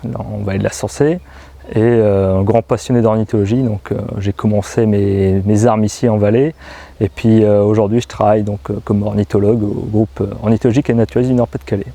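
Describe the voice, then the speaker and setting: A man's voice: lowish voice, steady tone, a little fast, dark tone. A man who works as an ornithologist, speaking earnestly and hesitantly in an interview. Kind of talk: documentary interview.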